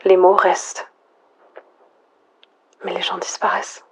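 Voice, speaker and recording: A woman playing a lonely, frightened last survivor, murmuring a halting, introspective audio log in a breathy, husky near-whisper. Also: on the low side, monotone, heavily acted, low-quality recording. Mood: lonely, bewildered. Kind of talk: hushed monologue, analog horror audio log.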